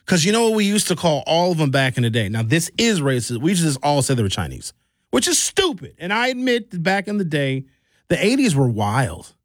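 A middle-aged American man hosting a radio talk show in an informal, opinionated storytelling style, colloquial and animated, on a close studio mic. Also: on the high side, a little fast, dry recording. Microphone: close studio microphone.

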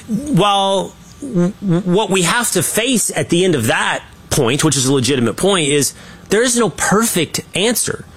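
An opinionated man hosting a political talk show, his voice sitting a touch higher than most men's. He argues his points emphatically, often restarting a sentence mid-thought in casual commentary. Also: a bit bright, breathy edge.

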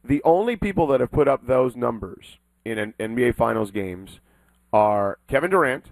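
A man argues basketball on a talk show in a firm, insistent way, punching the key words. His pitch sits in a middle range for a man. The recording is dry, with no room sound. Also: expressive, normal speed, vocal fry, no room sound, poor audio. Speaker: sports talk co-host. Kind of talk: casual sports debate.

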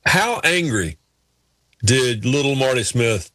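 A man's voice: mid-range voice, lively intonation, slow pace, pushed and bright, no echo, clean studio sound. A man co-hosting a casual sports talk show, rambling through self-deprecating anecdotes. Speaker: co-host.

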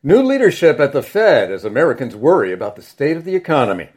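A man anchoring a news segment as bureau chief, in a measured news delivery. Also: mid-range voice, sing-song, faint music behind.

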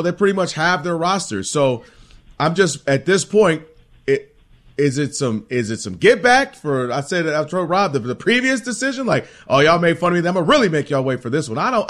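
A man's voice: on the high side, fairly bright tone. An American man co-hosting a casual sports talk radio show in an informal, conversational way.

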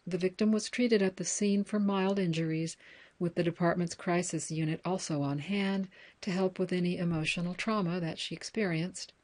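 An American woman reading news articles and book excerpts aloud as a reader, in a measured, plain announcer-like way. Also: lowish voice, fairly even intonation, a little mellow.

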